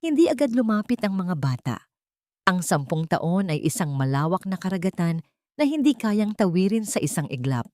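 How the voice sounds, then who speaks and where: A woman narrating a dramatic story in a solemn, measured way. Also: lowish voice, sing-song, warm tone, no room sound, clean studio sound. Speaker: audiobook narrator.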